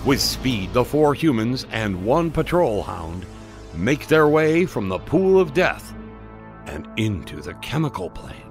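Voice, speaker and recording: A man's voice: medium pitch, big pitch swings, measured pace. A man playing the bombastic, dramatic announcer and narrator of an old-time space adventure radio serial. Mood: dramatic, urgent. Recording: music under the voice.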